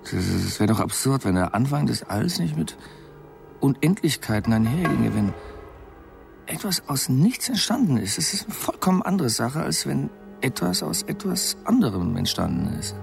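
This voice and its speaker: Very gravelly, tipsy astronomer. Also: male voice, mid-range voice, very animated, normal speed, music in the background. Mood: excited pondering. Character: tipsy male astronomer excitedly musing on the cosmos. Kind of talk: German radio drama acting.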